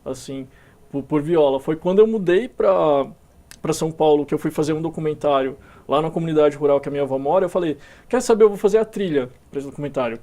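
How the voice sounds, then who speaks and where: A young man from Minas Gerais, a musician being interviewed, talking in a relaxed way with many fillers and restarts. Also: on the high side, quick pace. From Minas Gerais, Brazil. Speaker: musician guest. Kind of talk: casual interview talk.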